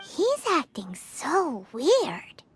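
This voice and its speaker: A woman voicing a tiny, cheeky floating fairy girl in a video game, with a squeaky childlike voice. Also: wildly swooping pitch, very slow, a little hiss or hum, full character voice. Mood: suspicious. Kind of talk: English game dub voice acting. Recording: loud music behind the voice.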